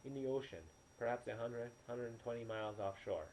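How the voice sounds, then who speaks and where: A man reads as a fierce, insistent local man in a storybook, full of superstition and warning. His delivery is forceful and clipped, on a slightly noisy home recording. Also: mid-range voice, fairly quick, close to an everyday voice. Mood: grave. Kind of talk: audiobook character dialogue.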